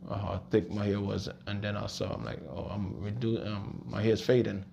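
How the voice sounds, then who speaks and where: Soft-spoken gravelly young man. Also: on the low side, steady tone, normal speed, background noise. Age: young adult. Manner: soft-spoken, hesitant, casual. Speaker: interviewee, former loc model. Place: hair salon.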